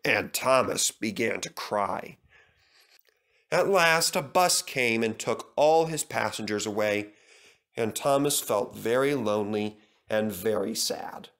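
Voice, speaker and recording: A man's voice: on the low side, slow, a bit bright. A man reading a children's story aloud as a scripted narration.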